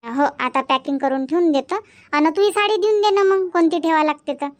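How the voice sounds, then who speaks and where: A woman's voice: high voice, brisk, lightly acted. A woman voicing a busy young village daughter-in-law and mother in a cartoon comedy, chatty, with a Varhadi Marathi accent. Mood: matter-of-fact. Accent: Varhadi Marathi from Vidarbha. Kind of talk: amateur cartoon comedy dubbing in village dialect.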